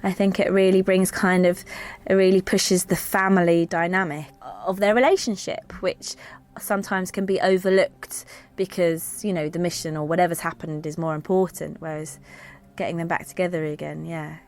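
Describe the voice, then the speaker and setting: Woman, lowish voice, actress just chatting about her part. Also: steady tone, moderate pace, darkish tone. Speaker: actress being interviewed. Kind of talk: casual behind-the-scenes chat.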